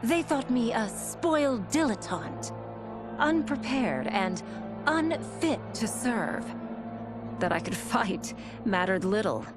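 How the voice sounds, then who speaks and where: An actress playing a proud, dutiful royal warrior and commander, narrating a game cutscene with formal composure. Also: female voice, fairly high pitch, sing-song, slow pace. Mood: wounded pride. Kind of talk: dramatic scripted cutscene narration. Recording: music behind voice.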